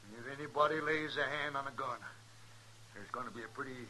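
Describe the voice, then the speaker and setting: A man's voice: mid-range voice, fast, bright, forceful tone. An American actor playing a menacing, bragging drifter gunman with a rustic drawl in an old radio western. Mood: threatening. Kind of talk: 1950s radio western acting. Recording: noisy old radio recording.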